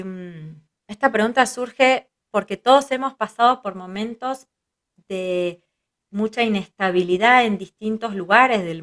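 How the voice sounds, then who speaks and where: An Argentine-accented woman talks through her ideas in a calm, reflective way, stringing thoughts together and checking in with little tag questions. Her voice sits at an ordinary middle pitch, and she speaks as a psychologist guest whose first language is Hebrew. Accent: Argentine Spanish. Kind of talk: reflective interview conversation.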